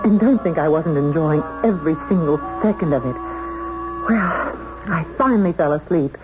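Prim flustered secretary, young woman, animated delivery, 1970s radio acting, dull recording over clear music. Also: deep voice, moderate pace. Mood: breathless, intrigued. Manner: proper diction, hesitant, indignant.

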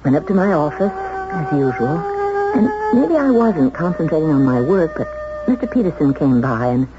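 An actress playing an earnest, long-suffering secretary who has turned bold, in 1970s radio drama style. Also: female voice, deep voice, very animated, normal speed, music in the background. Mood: indignant resolve. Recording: noisy, muffled 1970s radio recording.

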